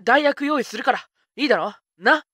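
A woman playing a flippant, self-serving young witch with rough, slangy speech in a comic anime voice drama. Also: sits high, expressive, normal speed, a bit bright, no echo, clean studio sound. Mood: sly, breezy.

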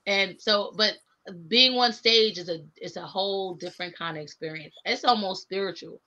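Woman rapper, earnest and reflective, bright forceful voice, muffled lo-fi call audio. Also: medium pitch, normal speed. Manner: earnest, reflective, laughs often. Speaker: rapper guest. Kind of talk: casual video call chat. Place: home studio room with framed records. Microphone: webcam on video call. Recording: dull lo-fi video call audio.

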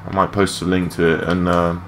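A young man with a low voice giving rambling, matey, sweary gameplay commentary in a casual unscripted way. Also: flat delivery, fairly quick, a bit bright. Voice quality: low-pitched voice. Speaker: gaming video creator. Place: his room at home. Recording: clearly noisy home mic recording.

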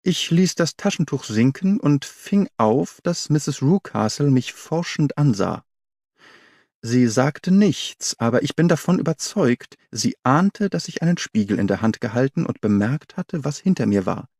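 Expressive man reading an audiobook. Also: medium pitch, a little slow, no room sound, clean studio sound. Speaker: audiobook narrator. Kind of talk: scripted literary narration, audiobook reading.

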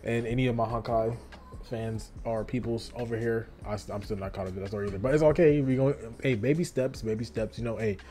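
A man's voice: medium pitch, fast talker. Young American man, slangy reaction commentary. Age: young adult. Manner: animated exclamations, repeats phrases. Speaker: reaction video host. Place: small bedroom at home.

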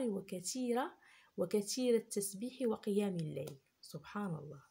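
A woman retelling a religious story for young children, gently explaining and repeating herself as she goes. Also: medium pitch, slightly rough. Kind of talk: unscripted storytelling for kids.

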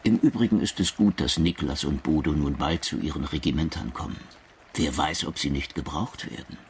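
Very deep-voiced officer writing home. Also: male voice, normal speed, a little hiss or hum. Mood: weary, reassuring. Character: grave officer husband writing intimate, worried letters home. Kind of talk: intimate letter reading in a radio play. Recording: loud music bed under the voice.

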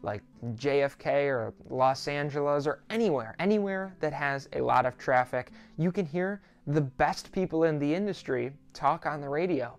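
A sixteen-year-old young man talking to camera as a host, explaining things in a reassuring, conversational way. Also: on the high side. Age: 16, teenager. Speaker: student pilot YouTuber, host. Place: small quiet home office, indoors.

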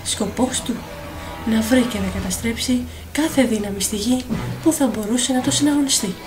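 A woman narrator reading a dramatic, scripted fairy tale for an animated film. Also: fairly high pitch, poor audio. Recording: voice over clear film music.

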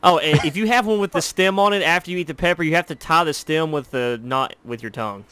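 High-pitched joking man on a video call. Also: quick pace, a bit bright, clear, resonant tone. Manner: profane, teasing. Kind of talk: casual joking group chat. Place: indoor room on a group video call.

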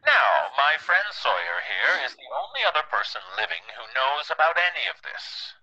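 A man's voice: medium pitch, sing-song, bright, forceful tone, highs cut off. Gleeful, wildly swooping villainous old father. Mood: smug. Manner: theatrical, drawn-out, cackling. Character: gleeful, sadistic dying old father taunting his daughters. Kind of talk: horror audio drama acting.

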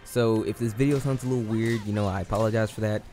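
A man's voice: on the low side, fairly even intonation, fast talker, mellow, faint music behind. A man who is losing his voice, giving casual, rambling commentary over a game and often correcting himself. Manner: rambling, self-correcting, slangy. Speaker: gaming YouTuber.

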